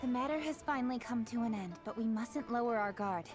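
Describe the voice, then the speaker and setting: A woman's voice: fairly high pitch, fairly quick, lightly acted. An actress playing a dutiful young lady official in a video game, with a formal, businesslike manner. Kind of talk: animated video game voice acting. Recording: clear music behind the voice. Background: music.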